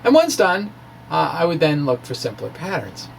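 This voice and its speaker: A man giving an informal science explanation in a halting way, as if thinking aloud. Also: a little high, wildly swooping pitch, creaky, a little hiss or hum. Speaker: amateur scientist presenting his blog.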